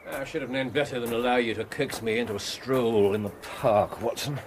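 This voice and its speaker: An actor playing a bored, brooding detective with sardonic wit in a radio drama, speaking languid, precise English in a theatrical way. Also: male voice, mid-range voice, fairly even intonation, fairly quick, noisy background, lightly acted. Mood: sardonic, restless.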